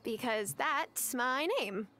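A woman's voice: on the high side, slow pace, bright, forceful tone. A woman playing a friendly, upbeat young newcomer in a video game. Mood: friendly, curious. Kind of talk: video game character acting. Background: music.

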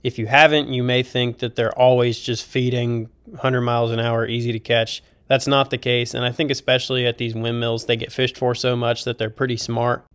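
A young man fishing on a boat, calling out excitedly as the fish bite, with stretches of relaxed narration about the trip. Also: mid-range voice, clean tone, no room sound. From United States. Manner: excited, quick exclamations while fishing. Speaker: fishing vlogger. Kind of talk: casual fishing commentary and voice-over. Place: out on a boat on the open water. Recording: wind noise on an outdoor boat mic.

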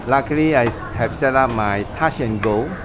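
A man's voice: mid-range voice, moderate pace, noisy background. A middle-aged man talks casually to his camera as he travels, explaining what is happening around him. He keeps an easy, chatty manner in an ordinary mid-range voice, recorded on a handheld camera in busy indoor spaces. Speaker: travel vlogger. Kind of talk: casual travel vlog commentary. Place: indoor food court, then train station and train.

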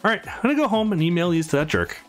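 Male reader as young game heroine, high-voiced, sweet, eager, friendly, music behind. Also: big pitch swings, racing. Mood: cheerful, friendly. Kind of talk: visual novel character read aloud.

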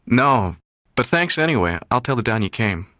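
Earnest, urgent young mobster's son. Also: male voice, mid-range voice, very animated, normal speed, pushed and bright, no echo, studio quality. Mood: firm. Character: anxious but resolute young Mafia don's son. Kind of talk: dramatised audiobook dialogue.

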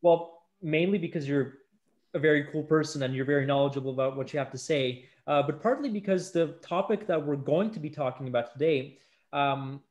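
A man's voice: a little high, fairly quick, dull audio. A man in his thirties hosting a conversational podcast over a video call, with hesitant, self-correcting phrasing. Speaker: podcast host. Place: indoor room at home, video call.

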